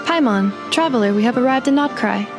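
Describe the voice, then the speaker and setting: Formal young robot girl, acted by a woman; literal, clipped, slightly high. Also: animated, a little fast, natural voice. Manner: formal, precise, computer-like phrasing. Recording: game audio with music behind.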